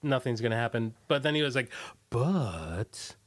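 A man speaking as an interview guest, casually recollecting in a loose, halting way and often trailing off. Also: medium pitch, animated.

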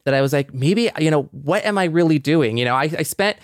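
Smooth-voiced man on a podcast. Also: fairly high pitch, lively intonation, a little fast, no echo, studio quality. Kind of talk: casual conversation.

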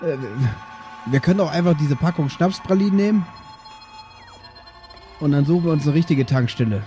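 A man as a mellow, laid-back alien dad. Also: on the high side, moderate pace, soft background music, lightly acted. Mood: rambling. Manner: rambling, hesitant, laid-back. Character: lazy, dim, easygoing alien dad fond of food. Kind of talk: improvised audio drama acting.

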